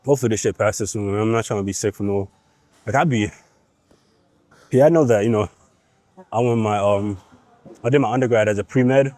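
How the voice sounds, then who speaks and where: Young guy in the gym, nasally from being sick, blunt pep talk, mellow low tone, dry. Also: brisk, pauses often, dry recording. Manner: blunt, profane, pep-talking. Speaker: fitness vlogger. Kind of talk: casual gym vlog talk.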